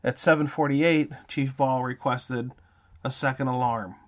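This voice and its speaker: A man's voice: medium pitch, fairly even intonation, measured pace. A man hosting an interview show, reading scripted narration to introduce a feature.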